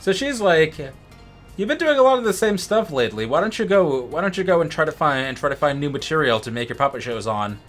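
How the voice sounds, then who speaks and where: A man's voice: fairly high pitch, big pitch swings, fast, a bit bright. Rambling male gamer, faint music behind. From United States. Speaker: let's play co-host and gamer. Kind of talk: casual gaming chat and commentary.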